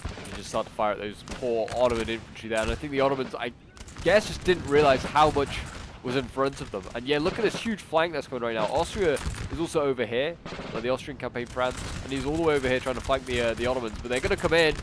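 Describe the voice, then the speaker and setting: A man's voice: mid-range voice, fast talker, background noise. A man with a stuffy, bunged-up voice from a cold, chatting casually through a strategy game battle and thinking aloud with many fillers. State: congested with a cold. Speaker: game commentator. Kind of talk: casual gameplay commentary. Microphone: new desktop microphone. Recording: game battle sounds behind. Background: gunfire and artillery from the game.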